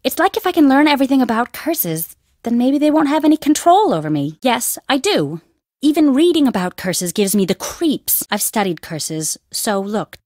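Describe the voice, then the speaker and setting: A young archaeologist. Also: female voice, a little high, big pitch swings, moderate pace, darkish tone, dry recording, clean studio sound. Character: young female archaeology student at an Egyptian dig. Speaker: video game character. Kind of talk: studio game voice acting.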